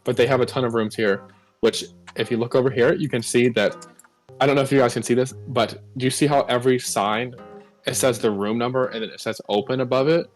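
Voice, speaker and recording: A man at a middle pitch leads a relaxed tour through a game world, talking in an easy, upbeat way. He keeps guiding the listener along as he goes. Music runs clearly underneath. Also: brisk. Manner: chatty, upbeat guide talk. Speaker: co-host giving a game tour. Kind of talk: casual game tour commentary. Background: background music.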